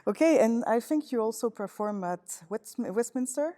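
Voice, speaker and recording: Halting woman interviewing in a church. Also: fairly high pitch, expressive, dark tone. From Toronto, Canada. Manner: halting, searching for words with many fillers. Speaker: musicologist interviewer. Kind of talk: interview questions. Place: large church. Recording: long church reverb.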